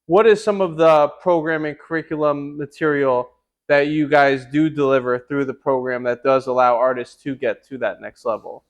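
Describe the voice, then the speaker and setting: A man's voice: medium pitch, steady tone, normal speed. A man with a mid-range voice hosting a casual podcast. He speaks with enthusiasm, stringing ideas together into long run-on sentences. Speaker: podcast host. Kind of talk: casual interview talk.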